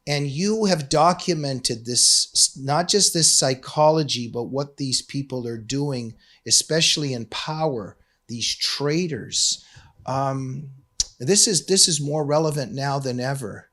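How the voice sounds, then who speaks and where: A man's voice: mid-range voice, measured pace, fairly bright tone. Emphatic male talk show host. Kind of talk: scripted intro and interview talk.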